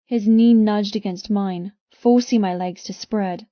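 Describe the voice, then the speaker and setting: A woman reading first-person romance fiction as an audiobook narrator, with intense, expressive delivery. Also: mid-range voice, measured pace, dry recording, lo-fi sound.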